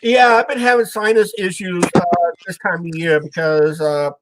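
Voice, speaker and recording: A man's voice: high voice, expressive, crowd noise, poor audio. Stuffed-up, rambling man hosting. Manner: rambling, halting, trails off with "and that". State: stuffed-up nose. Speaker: show host. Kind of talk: casual live-stream chat.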